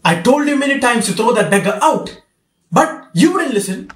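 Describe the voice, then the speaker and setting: A man's voice: very high voice, sing-song, normal speed. A man playing a stern, irritable wealthy father in an amateur radio drama, with a blustery, scolding manner. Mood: angry scolding. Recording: echoey room.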